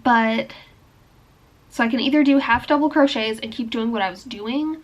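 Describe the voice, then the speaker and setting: A young woman with a fairly high voice chats her way through a crochet project, thinking out loud and filling pauses with "like" and "um". A bad cold leaves her sounding a little stuffy. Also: muffled recording. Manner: chatty, thinking aloud, frequent "like" and "um". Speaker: crochet vlogger. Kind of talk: casual explaining while crafting.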